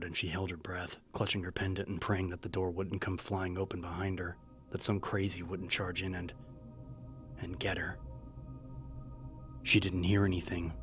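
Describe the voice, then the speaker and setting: A man narrating a scripted horror audiobook in a measured, serious storytelling manner. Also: low-pitched. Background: music.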